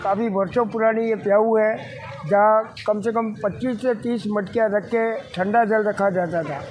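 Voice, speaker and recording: A man's voice: high-pitched, fairly even intonation, normal speed, a little mellow, faint music behind. An older man, a temple priest, speaks outdoors in a formal, reflective manner. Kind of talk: recollection in interview.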